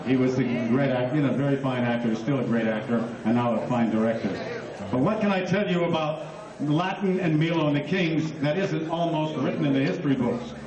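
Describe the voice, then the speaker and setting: A man's voice: medium pitch, brisk. Older man emceeing a live concert. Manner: enthusiastic, reminiscing. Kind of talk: live stage announcing. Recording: echoey live hall recording from far off. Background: audience crowd.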